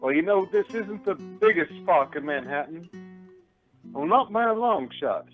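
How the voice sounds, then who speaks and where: An actor playing a genial, wry old-time radio storyteller, speaking in a folksy, theatrical manner. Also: male voice, on the high side, animated, moderate pace, lightly acted. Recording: tinny phone line with music behind.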